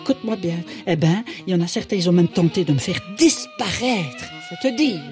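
A woman voicing a chatty, proud female saxophone with a Belgian accent in a children's radio story, talking in a lively, theatrical way. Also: medium pitch, brisk, close to an everyday voice. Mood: aggrieved. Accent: Belgian French. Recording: with loud music behind.

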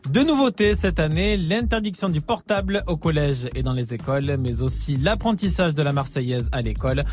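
A man's voice: on the high side, expressive, brisk, a little mellow. A man presenting a morning radio news bulletin in a lightly conversational style. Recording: music bed under the voice. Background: music.

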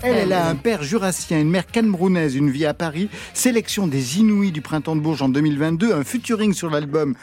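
A man's voice: a little high, expressive, brisk, hardly any pauses, darkish tone, soft background music. Playful male radio host. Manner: playful, teasing questions. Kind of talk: radio interview.

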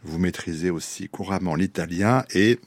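A man hosting a long cultural radio interview, putting his questions in measured, broken-up phrases. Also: sits low, no echo.

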